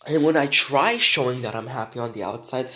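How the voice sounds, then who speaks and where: A man's voice: mid-range voice, brisk, bright, forceful tone. Rambling teenage boy. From Romania. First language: Romanian. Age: teens. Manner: rambling, joking, many restarts and slang. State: sick. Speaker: vlogger. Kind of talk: casual rambling monologue.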